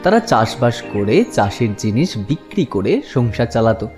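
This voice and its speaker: A man reads a children's fairy tale as narrator. His medium-pitched voice moves up and down expressively, with music behind it. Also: expressive, normal speed, a little mellow.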